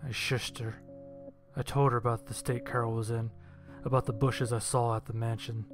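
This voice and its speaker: Guy narrating a spooky first person story, medium pitch, ringing music behind him. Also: level tone, normal speed. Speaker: narrator of an audio horror story.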